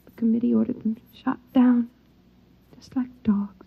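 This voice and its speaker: An actress playing a lonely, yearning wife in a 1950s radio drama, speaking in hushed tones. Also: female voice, a little high, steady tone, moderate pace, vocal fry, slightly rough, full character voice. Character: lonely wife in a fallout shelter. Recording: dull old radio broadcast with music.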